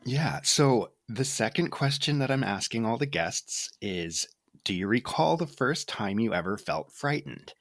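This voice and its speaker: Host of a podcast, dry close sound, quick little mm-hmms between questions. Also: medium pitch, expressive, a bit bright, dry recording. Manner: brief encouraging backchannels. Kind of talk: casual interview conversation.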